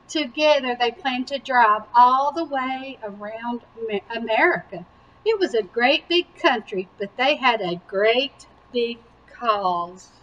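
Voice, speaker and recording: A woman reading a picture book aloud to young children with animated emphasis and simple explanatory asides. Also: a little high, dull audio, a little hiss or hum. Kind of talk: slow, expressive children's read-aloud.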